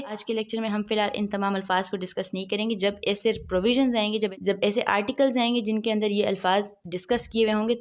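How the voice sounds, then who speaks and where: A woman giving a law lecture, reading legal clauses slowly and then explaining them quickly, often asking questions and answering them herself. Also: medium pitch. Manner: reads legal text slowly, then explains in quick mixed Urdu-English.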